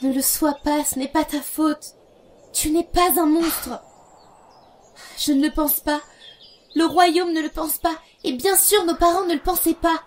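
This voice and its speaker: A woman's voice: sits high, steady tone, measured pace, a little hiss or hum. A woman playing a bubbly, devoted young princess in a fan dub, gushing and rambling as she corrects herself. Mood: earnest, reassuring. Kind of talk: animated-fantasy fan dub acting in French. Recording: music behind the voice.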